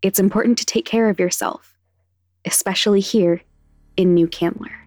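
A woman's voice: mid-range voice, steady tone, normal speed, a little mellow, faint music behind. A woman announcer reading a scripted introduction and advisory for a fiction podcast. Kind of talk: scripted content warning narration.

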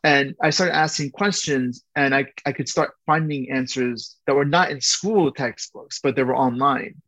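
A man's voice: mid-range voice, normal speed, pushed and bright, no room sound, low-quality recording. A man in his forties, raised in Southern California, who spoke Persian first, talking as a historian guest in a loose interview and thinking aloud with frequent restarts. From born in Iran, raised in Southern California. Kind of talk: casual interview talk.

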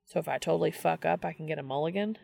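A reader as an easygoing, gravelly male baker. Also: mid-range voice, very fast, a little mellow, big-room sound. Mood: casual, self-conscious. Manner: colloquial, hesitant, informal. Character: casual, easygoing, slightly awkward man, a baker.

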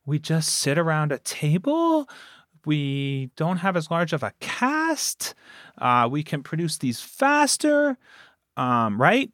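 A man in a casual interview, talking at length as a producer. He is emphatic and circles back on his phrases, with a mid-pitched voice in a very dry, close sound. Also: a bit bright, no room sound. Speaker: podcast producer and guest.

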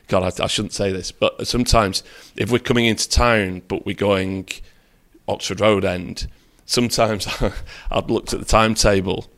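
A man being interviewed as a guest, speaking in a measured, candid way with self-deprecating asides. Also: fairly low pitch, fairly bright tone. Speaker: property developer, interview guest. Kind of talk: conversational interview.

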